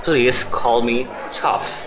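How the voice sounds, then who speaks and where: Radio drama: male actor as casual, slow-paced male pal, roomy echo, crowd behind. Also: medium pitch, steady tone. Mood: laid-back. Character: casual, slow-talking male friend at a meal. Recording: echoey, crowd noise behind.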